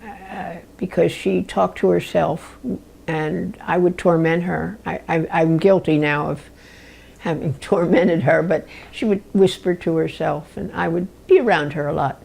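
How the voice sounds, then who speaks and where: A woman in her nineties, a retired nurse, giving halting, thoughtful interview answers. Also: lowish voice, steady tone, vocal fry, a little mellow, husky, low-quality recording. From New Jersey. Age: 90s. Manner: halting, searching for words.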